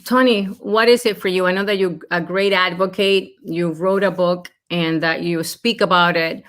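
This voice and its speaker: Woman, lower-pitched voice, panel host, from the Dominican Republic, encouraging and supportive. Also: steady tone, moderate pace, fairly bright tone. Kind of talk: moderating a panel discussion.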